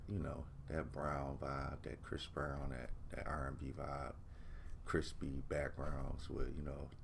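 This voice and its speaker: A man with a deep voice talking through a vocal mix in a laid-back, rambling way, full of fillers, from his home studio. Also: creaky, a little hiss or hum. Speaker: music producer teaching vocal mixing. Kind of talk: casual screen-recorded tutorial.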